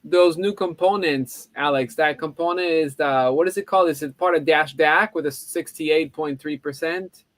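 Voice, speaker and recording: A man hosting a video call, eagerly giving quick explanations and short encouragements. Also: on the high side, fairly bright tone, no echo. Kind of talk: casual video call discussion.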